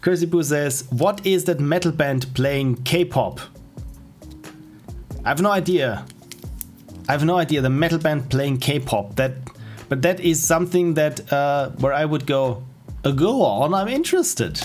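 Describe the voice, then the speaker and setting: A middle-aged man with an Austrian accent, chatting casually and rambling as he edits on a livestream, with soft laughs and restarts. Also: a little high, expressive, unhurried. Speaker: streamer. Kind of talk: casual livestream chat while editing. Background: faint music and keyboard typing.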